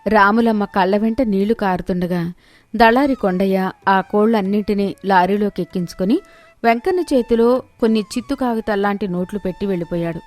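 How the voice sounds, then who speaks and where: A woman's voice: mid-range voice, a little fast, a little mellow. Woman narrating a moral story over music.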